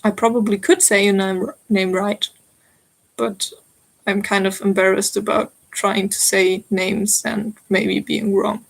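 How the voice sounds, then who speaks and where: Slow-talking German woman chatting live. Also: medium pitch, little pitch movement, vocal fry. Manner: chatty and giggly, talks to viewers directly. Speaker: stitching channel host. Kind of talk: live stream chat reading viewer comments.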